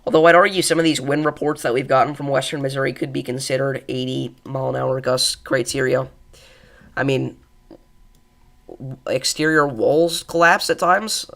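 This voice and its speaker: A young man with a stuffed-up, congested voice and a gravelly edge, hosting a live weather stream. He rambles casually with fillers, thanking viewers between forecast updates. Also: mid-range voice, fairly bright tone. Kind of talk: live weather coverage, reading chat.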